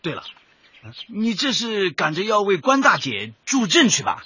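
Raspy, high-voiced teasing old neighbour. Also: male voice, wildly swooping pitch, normal speed, bright, forceful tone, muffled recording, heavily acted. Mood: teasing, curious. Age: older. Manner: teasing, questioning. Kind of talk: comic radio drama acting.

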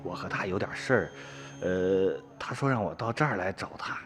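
A man's voice: low-pitched, moderate pace, a bit bright, in a large hall, faint music behind. An actor playing an evasive, nervous young village man in a radio drama, stammering and hesitant. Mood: sheepish. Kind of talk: Chinese radio drama acting.

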